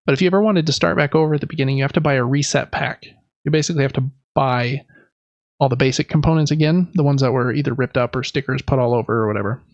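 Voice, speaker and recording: A man's voice: mid-range voice, quick pace, dry recording, lo-fi sound. A man hosting a casual board game podcast, talking in an informal, conversational, meandering way. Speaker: podcast host.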